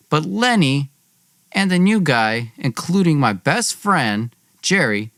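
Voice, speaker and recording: Young man telling a story, swooping animated pitch. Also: no room sound, studio quality. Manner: theatrical, exclamatory, slangy. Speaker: podcast host telling own story. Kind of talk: dramatic personal storytelling.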